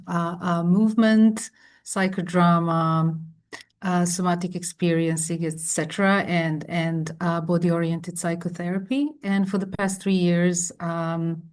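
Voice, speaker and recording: A woman from Croatia introducing herself on an online panel, halting and searching for words. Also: lowish voice. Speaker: director.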